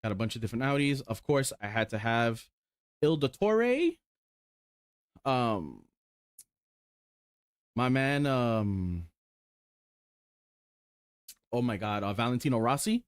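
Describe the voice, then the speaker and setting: Man, 40s, casual rambling streamer, excitable, salty language, dry close sound. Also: medium pitch, slow, pauses often, clear, resonant tone, no room sound. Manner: rambling, excited, swears casually, lots of ums. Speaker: sim racing streamer. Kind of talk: casual chat while showing cars.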